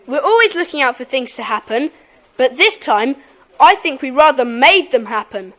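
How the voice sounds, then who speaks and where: A woman's voice: sits high, big pitch swings, moderate pace, fairly bright tone. A woman playing an earnest, proud schoolboy who leads a secret club in an audiobook drama. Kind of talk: audiobook radio-play dramatisation.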